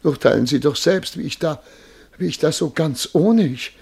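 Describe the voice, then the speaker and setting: An actor playing a self-important, easily flustered male official in a radio play, halting, pompous and pleading, with a husky voice. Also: on the high side, a little mellow, exaggerated voice. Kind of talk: German radio play acting.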